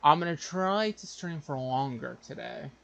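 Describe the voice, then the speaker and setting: Gravelly-voiced man streaming casually. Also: on the high side, expressive, a bit bright. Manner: halting, muttering to himself. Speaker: livestreamer. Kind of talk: casual gaming stream chat.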